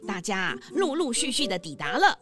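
一位女性旁白，以講故事的口吻為兒童廣播劇唸稿敘述。. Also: female voice, medium pitch, sing-song, a little fast. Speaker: narrator. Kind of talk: scripted children's story narration.

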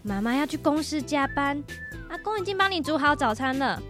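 A woman playing a brisk, nagging but caring mother in a radio drama, with a Taiwanese Mandarin accent. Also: sits high, expressive, music in the background. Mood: instructing, chiding. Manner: bossy, coaxing.

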